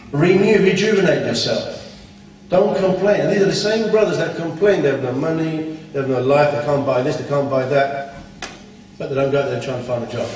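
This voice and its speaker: A man's voice: on the high side, darkish tone, slight background noise. A man giving a blunt, scolding Islamic talk at a conference, full of rhetorical questions. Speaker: conference speaker. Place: conference hall. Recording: echoey hall from far off.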